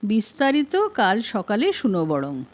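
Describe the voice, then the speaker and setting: A woman reading an audiobook as a composed, firm wife who talks in a calm, matter-of-fact way. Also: medium pitch, measured pace. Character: composed, firm wife managing her drinking husband. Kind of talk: audiobook detective story reading.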